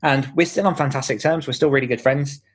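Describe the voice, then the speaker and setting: A man's voice: mid-range voice, steady tone, fast talker. A British man talking in an informal, explanatory way as the guest in a conversational podcast interview.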